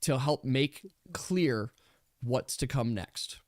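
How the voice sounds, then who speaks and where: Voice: mid-range voice, takes it slowly, darkish tone, a little hiss or hum. A game master narrating a tabletop role-playing game in a casual, conversational way.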